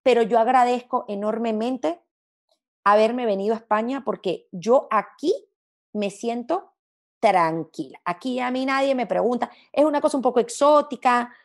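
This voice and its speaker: Expressive high-voiced woman, slightly creaky. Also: moderate pace. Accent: Spanish of mixed Latin American sound, hard to place. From Venezuela. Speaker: interview guest, gastronomy journalist. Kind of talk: reflective conversational interview.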